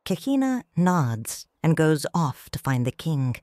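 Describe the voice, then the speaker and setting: Slow low-voiced woman narrator, tender. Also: very animated, dry recording, clean studio sound. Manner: slow, soft, tender and reflective. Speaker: first-person narrator, a grieving widow and mother. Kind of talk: scripted audiobook narration.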